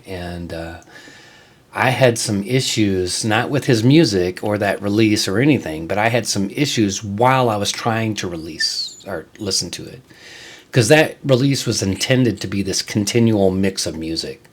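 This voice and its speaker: Low-voiced man giving a casual podcast update. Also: expressive, normal speed. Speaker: music show host and reviewer.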